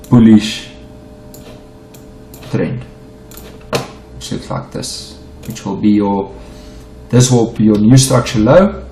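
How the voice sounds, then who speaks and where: A low-pitched South African man with Afrikaans as his mother tongue, talking through a chart lesson in a loose, halting way with restarts and repeats. He sounds like he's in an echoey room, with a faint hiss behind him. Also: dark tone. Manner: stumbling, repeats himself while explaining. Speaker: forex trading teacher. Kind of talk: unedited screen-share chart walkthrough.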